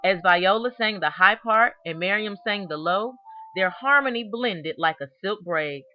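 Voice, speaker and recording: Bright-voiced woman reading a storybook aloud. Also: medium pitch, rough recording. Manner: expressive, deliberate storytelling with emphasis on key words. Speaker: storybook reader. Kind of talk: read-aloud narration of a children's biography.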